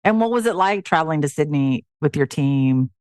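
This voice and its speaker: A woman's voice: sits low, animated, normal speed, darkish tone, no echo. Female podcast interviewer, very dry recording. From United States. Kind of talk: conversational interviewing.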